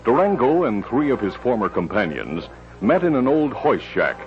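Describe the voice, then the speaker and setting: A man's voice: medium pitch, sing-song, moderate pace. A man narrating in a dramatic 1950s radio style, with grand, emphatic announcer delivery. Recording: dull lo-fi vintage radio recording. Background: dramatic music.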